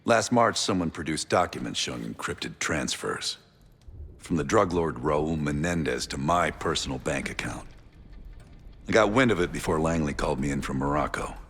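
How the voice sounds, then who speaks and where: An actor playing a weary, guarded intelligence operative in a military game, with a gravelly, husky voice. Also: male voice, rumbling low, animated, normal speed, fairly bright tone, in a large hall, full character voice. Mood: grim, guarded. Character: weary, guarded male CIA operative on the run. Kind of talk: cinematic military game acting.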